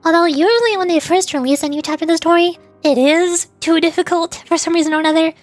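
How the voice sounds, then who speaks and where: A woman's voice: very high voice, fast, dry recording. A woman chatting casually on a livestream as a VTuber game streamer, in a giggly, rambling way. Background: faint music.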